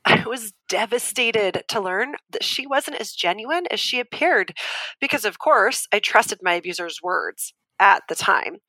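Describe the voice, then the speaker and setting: Audio drama: woman survivor on a debate panel, articulate and insistent, bright tone, very dry recording. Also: mid-range voice, animated, unhurried, dry recording, heavily acted, poor audio. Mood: grave, earnest. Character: Julia, earnest, firm woman abuse survivor explaining patiently. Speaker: debate guest.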